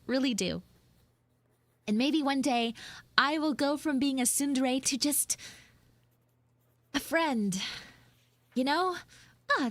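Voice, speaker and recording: A woman voicing a flustered, grudging tsundere frog girl in a live audio roleplay, speaking casually with halting stammers. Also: sits high, a bit bright, no room sound, full character voice. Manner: halting, stammering, drawn-out delivery.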